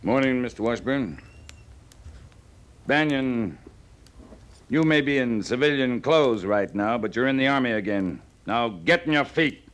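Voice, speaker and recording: An actor playing a stern cavalry captain, curt and authoritative, in an old-time radio western. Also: male voice, mid-range voice, expressive, a little slow, long pauses, natural voice. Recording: noisy vintage radio recording.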